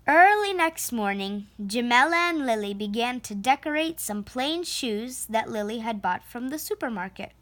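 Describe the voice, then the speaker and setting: A woman reading a children's picture book aloud with deliberate, expressive phrasing. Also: a little high. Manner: slow, deliberate, with emphatic phrasing.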